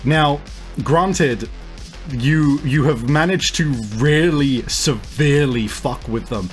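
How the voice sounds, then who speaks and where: A man working as a game master, improvising tabletop game narration in a halting, piece-by-piece way. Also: a little high. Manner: halting, pieces scenes together as they go. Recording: music playing behind the voice. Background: music.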